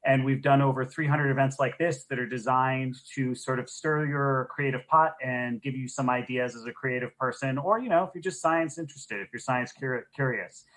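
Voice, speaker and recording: A man's voice: mid-range voice, normal speed, few pauses, fairly bright tone, rough recording. A man speaking as a program director, giving an informal welcome and housekeeping notes as co-host of an online event on a video call.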